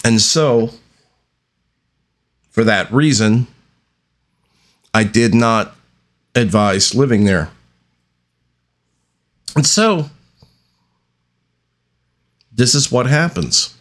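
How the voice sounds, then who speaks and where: A man delivers an unscripted solo podcast monologue at a very slow pace. His pitch sits in an ordinary middle range for a man. He speaks deliberately, breaking sentences into short pieces with long pauses. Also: animated. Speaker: podcast host.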